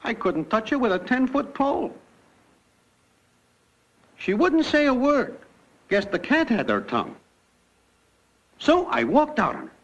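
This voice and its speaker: A man's voice: very high voice, very animated, unhurried, pauses often, full character voice. An American actor playing a brash young newcomer to the afterlife, breezily telling his life story in slang. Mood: matter-of-fact, jaunty. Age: young adult. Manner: breezy, wisecracking, packed with idioms. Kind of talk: classic cartoon comic narration. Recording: dull lo-fi cartoon soundtrack, loud music.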